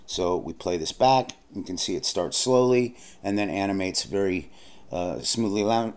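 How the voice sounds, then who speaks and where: Man talking through a software tutorial, lowish voice, plain explanatory delivery, close mic voice-over. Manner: plain, explanatory, with frequent fillers and restarts. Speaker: software instructor. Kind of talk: screen-recorded software tutorial narration.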